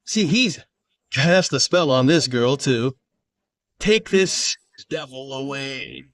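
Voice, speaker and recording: A man playing a scheming, villainous village chief in an animated moral story, declaiming accusations and orders. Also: mid-range voice, takes it slowly, no echo, recorded from a distance. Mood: accusing.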